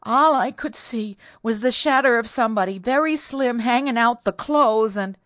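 A woman's voice: medium pitch, animated, drawn-out words, a little mellow, breathy edge, no room sound, voice pushed up, full character voice. A woman narrator voicing a terrified middle-aged country maid in a storybook, faint, gasping and hesitant. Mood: horrified, faint.

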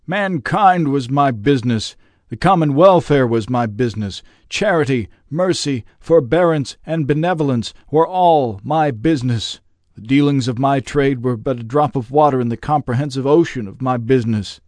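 Man doing grave chained ghost, slow declaiming, audiobook story, dry close sound. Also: medium pitch, darkish tone, no room sound, voice pushed up, natural voice. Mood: lamenting. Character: mournful male ghost in chains, solemn and warning.

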